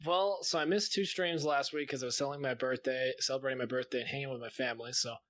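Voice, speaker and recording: Bright, forceful male co-host. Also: medium pitch, steady tone, fast. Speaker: podcast co-host and streamer. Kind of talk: casual chat.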